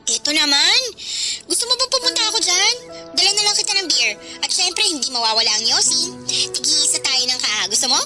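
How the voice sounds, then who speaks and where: A woman's voice: high voice, animated, a little fast. An actress playing a carefree, bratty teenage party girl in a radio drama, slangy and flippant. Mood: excited, coaxing. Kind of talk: radio drama acting in Filipino. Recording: loud music bed.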